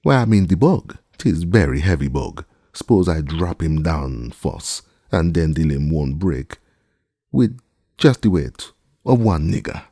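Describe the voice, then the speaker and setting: A deep-voiced reader puts on a stubborn, superstitious old male servant, lifting his voice well above his own into a drawling, pleading dialect. The tone turns husky and gravelly underneath, and the recording is dry and close. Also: very animated, unhurried, mellow, no room sound, exaggerated voice. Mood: fearful. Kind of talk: audiobook character voice.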